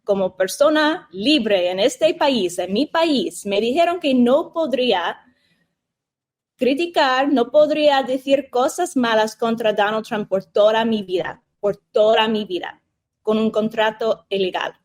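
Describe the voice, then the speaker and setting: A woman's voice: on the high side, unhurried. An American woman whose first language is English, answering interview questions in a second language, hesitant and searching for words. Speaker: interview guest.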